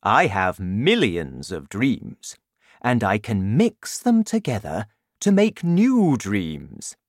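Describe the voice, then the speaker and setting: A woman voicing a gentle, gloomy male giant in a children's story, talking in a slow, sad, matter-of-fact way. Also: a bass voice, wildly swooping pitch, no room sound, studio quality, heavily acted. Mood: explaining.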